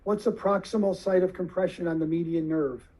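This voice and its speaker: A man giving a lecture to surgeons, explaining slowly and deliberately with questions to the audience. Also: high-pitched, steady tone, warm tone, rough recording.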